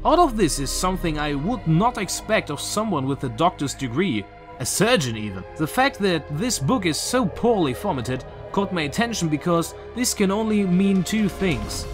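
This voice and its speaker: A young man in his twenties with a German accent, earnestly talking through a game theory from his bedroom, with a few filler pauses. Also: high-pitched, big pitch swings, music in the background. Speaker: YouTuber. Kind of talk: game theory video commentary. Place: small bedroom with shelves, indoors.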